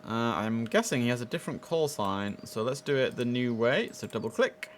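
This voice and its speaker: Chatty man over clear background noise. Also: mid-range voice, expressive, moderate pace. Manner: chatty, informal, thinks aloud. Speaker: streamer playing an air traffic control simulator. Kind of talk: casual live gameplay commentary.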